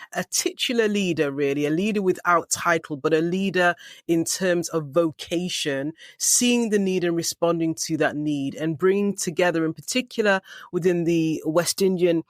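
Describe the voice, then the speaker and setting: A woman's voice: lowish voice, no room sound. The seasoned English woman guest on a leadership podcast, reflecting on her life carefully and articulately in a dry, close recording. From Manchester, England. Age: middle-aged. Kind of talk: reflective personal storytelling in an interview.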